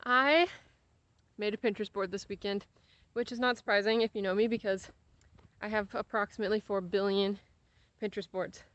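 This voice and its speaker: A woman's voice: mid-range voice, fairly even intonation, brisk, fairly bright tone, dry recording, highs cut off. A young American woman chats casually while walking outdoors, rambling with playful exaggeration and easily sidetracked. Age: young adult. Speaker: vlogger.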